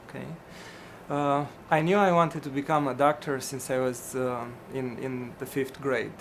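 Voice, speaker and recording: A middle-aged physician from Romania, answering interview questions in a hesitant way, with many ums and restarts. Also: male voice, medium pitch, steady tone, a little slow, slight background noise.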